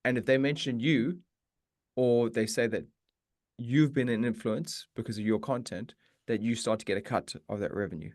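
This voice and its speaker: A man's voice: medium pitch, normal speed, darkish tone, no room sound, studio quality. A man hosting a live Q and A for meditation teachers, chatty and encouraging, often restarting his sentences. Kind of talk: casual live-stream chat answering questions.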